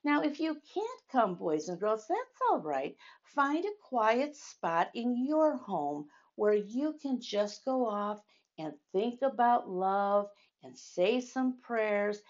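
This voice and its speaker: A woman's voice: on the high side, sing-song, slow pace, lo-fi sound. A woman reading a story aloud to young children, addressing them directly with questions and emphatic phrasing. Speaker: children's storytime host at a church.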